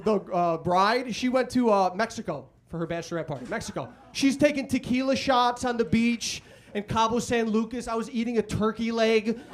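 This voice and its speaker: A young man from Chicago with a Chicago accent doing a stand-up comedy set, chatting loosely and shouting out crude acted-out quotes. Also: extremely high pitch, noisy background. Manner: conversational, crude punchlines, loud acted-out quotes. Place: comedy club stage. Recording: live club audience audible. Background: audience laughter.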